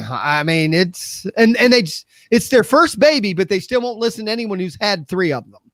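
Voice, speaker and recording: A man in his fifties with a notably high-pitched voice hosts a casual podcast. He rambles loosely and swears freely. The sound is dry, with very little room to it. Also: lively intonation, moderate pace, a bit bright, no echo. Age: 50s. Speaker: podcast host. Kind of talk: casual chat.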